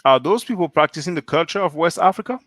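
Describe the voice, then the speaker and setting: A man's voice: fairly high pitch, animated, quick pace, a bit bright, no echo, studio quality. A man from France hosting a solo live stream, reading viewer comments and asking pointed rhetorical questions.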